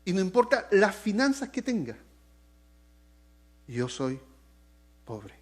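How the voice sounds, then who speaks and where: A man from Chile with a Chilean accent, preaching a sermon with lively swings in pitch and loudness and joking asides to the congregation. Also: slow pace. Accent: Chilean Spanish. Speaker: pastor.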